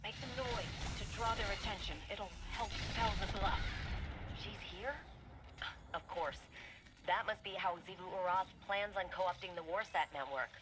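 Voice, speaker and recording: An actress playing a brisk, capable female mission operator giving businesslike instructions in a video game. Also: medium pitch, noisy background, lightly acted. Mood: focused. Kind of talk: game mission briefing acting.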